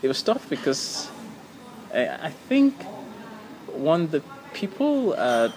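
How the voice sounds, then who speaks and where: A man's voice: fairly high pitch, wildly swooping pitch, slow pace, darkish tone, slight background noise, low-quality recording. A young man from Nigeria, a university student, answering an interview with frequent repeats. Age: young adult. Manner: repeats words, says you know.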